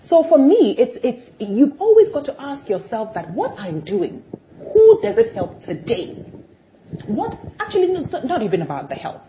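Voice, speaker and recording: A middle-aged woman, a television personality, speaking as an interview guest in an animated, emphatic way that swings between loud and soft. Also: sits high, normal speed, slight background noise. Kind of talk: conversational interview. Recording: dull lo-fi recording.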